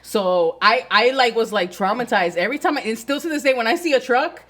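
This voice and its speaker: A woman's voice: a little high, fairly quick, a bit bright. A woman in her forties co-hosting a casual podcast, telling stories and playfully acting out quotes. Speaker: podcast co-host. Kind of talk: casual chat.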